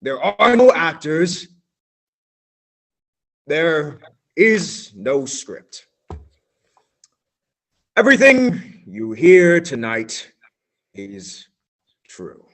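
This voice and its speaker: A man's voice: a little high, very animated, very slow, long pauses, fairly bright tone, full character voice. A young American man playing a melodramatic, self-important radio playwright in a theatrical radio play style. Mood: solemn, dramatic.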